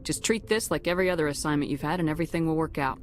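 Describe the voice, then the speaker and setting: An actress playing a composed, firm female military commander in a video game, speaking with steady, probing authority. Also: low voice, racing, faint music behind, lightly acted. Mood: steady, authoritative.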